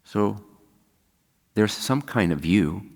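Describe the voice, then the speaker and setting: A man leading a guided meditation as a spiritual teacher, speaking calmly and unhurriedly with long pauses. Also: fairly low pitch, gravelly. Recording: long hall-like reverb.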